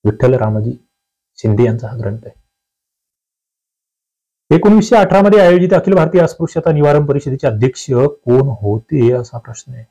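Mellow-voiced Maharashtrian man teaching exam questions. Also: mid-range voice, animated, normal speed, pauses often, dull audio. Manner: instructive, emphatic, often asks rhetorical questions. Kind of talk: quiz-paper lesson, reading questions and answers aloud.

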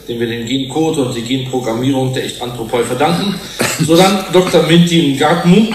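An actor playing a shrewd, combative scientific manager who addresses a tribunal in a pointed, oratorical way in a German radio drama. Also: male voice, fairly high pitch, lightly acted. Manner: oratorical, pointed, self-assured. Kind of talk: formal courtroom speech in German radio drama. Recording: roomy hall echo, faint hiss.

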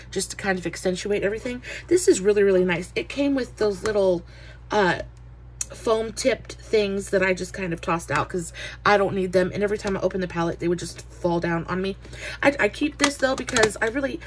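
Woman, young adult, casual beauty vlogger; stuffy nasal voice, chatty with fillers, faint background noise. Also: medium pitch. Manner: chatty, many "uh" fillers, friendly greetings. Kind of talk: casual makeup review.

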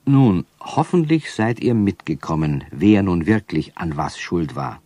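Genial storyteller, low dark voice, lively intonation, children's radio play narration. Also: male voice, a little slow, dry recording, heavily acted. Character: genial storyteller addressing young listeners.

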